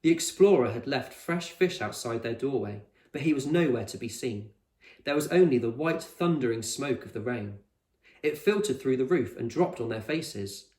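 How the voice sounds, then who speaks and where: A man reading a children's novel aloud as a teacher, with expressive storybook narration. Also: mid-range voice, normal speed. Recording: echoey room.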